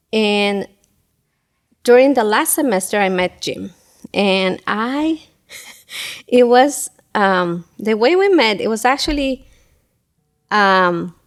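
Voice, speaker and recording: Woman, middle-aged, middle pitch, interview guest, thoughtful, pauses and fillers. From Ecuador. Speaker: guest, school event coordinator. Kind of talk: casual interview talk.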